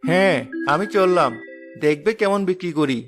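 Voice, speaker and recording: A man's voice: high-pitched, normal speed, soft background music, lightly acted. A man voicing a cheerful, grateful village husband and food seller in a cartoon story. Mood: grateful, eager. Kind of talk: Bengali cartoon story voice acting.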